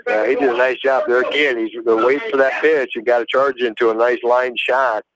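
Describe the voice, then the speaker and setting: A man's voice: mid-range voice, flat delivery, a little fast, fairly bright tone, low-quality recording. An American man giving casual, rambling color commentary on a live baseball radio broadcast. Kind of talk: casual live game analysis.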